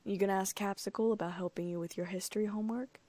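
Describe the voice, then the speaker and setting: A woman's voice: mid-range voice, fairly even intonation, fast, dark tone, no echo, lightly acted. A woman reading as a doting, teasing dad. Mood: playful. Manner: playful, teasing. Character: teasing inventor father, doting.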